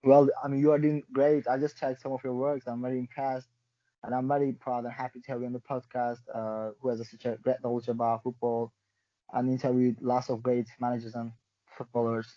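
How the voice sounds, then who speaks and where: A man's voice: mid-range voice, level tone, fairly quick, dry recording, lo-fi sound. A man hosting a podcast in a friendly way, asking long rambling questions. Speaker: podcast host.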